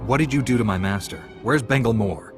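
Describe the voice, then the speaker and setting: An actor playing a steady, principled young warrior apprentice in a video game, measured and firm. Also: male voice, medium pitch, music in the background, natural voice. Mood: stern. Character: young male Jedi apprentice, steady, stern, principled hero. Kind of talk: video game cutscene acting.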